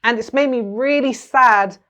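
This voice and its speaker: A woman's voice: fairly high pitch, measured pace, a bit bright. A British woman speaking candidly and reflectively in a podcast conversation. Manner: earnest, reflective.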